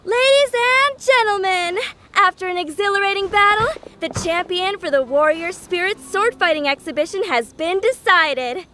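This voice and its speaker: A piercingly bright, very high female announcer hypes a game tournament. She projects with showy, drawn-out drama. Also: lively intonation, slight background noise, exaggerated voice. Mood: excited. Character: excited female tournament announcer hyping up the crowd. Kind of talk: arena announcement in a video game.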